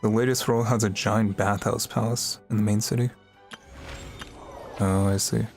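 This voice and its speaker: A man's voice: lowish voice, fairly even intonation, moderate pace, a little mellow. A man livestreaming a video game, chatting casually and musing aloud in a laid-back way. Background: game music.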